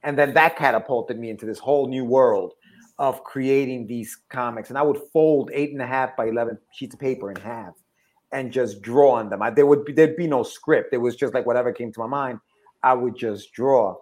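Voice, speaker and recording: A man's voice: mid-range voice, moderate pace, darkish tone. Chatty middle-aged man telling his story. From Ecuador, moved to the States at seven. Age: 40s. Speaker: podcast guest, comic book creator. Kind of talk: casual conversational storytelling.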